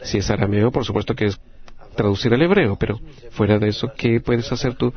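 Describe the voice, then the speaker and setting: A man with a Latin American Spanish accent interpreting a group discussion live, in halting, phrase-by-phrase delivery. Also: on the low side, lo-fi sound.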